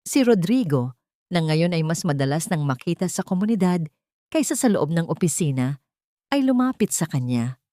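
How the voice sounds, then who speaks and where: A woman narrating a dramatic story in an earnest, sentimental, measured way. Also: lowish voice, sing-song, dark tone, no room sound, studio quality.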